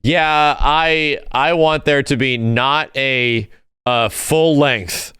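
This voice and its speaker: A man's voice: mid-range voice, very slow, pushed and bright, smooth, dry recording, clean studio sound. A man co-hosting a podcast, chatting casually and enthusiastically with some swearing. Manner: enthusiastic, profane. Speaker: podcast co-host. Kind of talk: casual chat about fighting game films.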